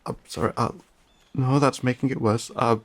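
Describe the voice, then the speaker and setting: A performer voicing a shaken, emotional fantasy companion in an audio roleplay, speaking haltingly with stammers and whispers. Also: medium pitch, fairly even intonation, natural voice. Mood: flustered, apologetic. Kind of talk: dramatic audio roleplay addressed to listener.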